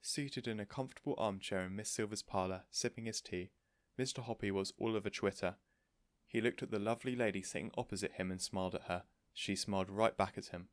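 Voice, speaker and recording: A man's voice: fairly low pitch, steady tone, a little fast, no echo. A man narrating a children's story from a script, as an audiobook reader.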